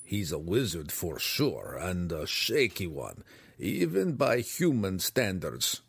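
Man voicing a gruff, wary deep gnome. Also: wildly swooping pitch, takes it slowly, dry recording, heavily acted. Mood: wary warning. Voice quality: resonant. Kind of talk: audiobook fantasy character voice.

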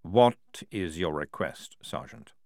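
A man voicing a senior male army general who speaks in a measured, unhurried, quietly commanding way. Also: low-pitched, expressive, vocal fry, dry recording, studio quality, voice pushed down, exaggerated voice. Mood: calm, firm.

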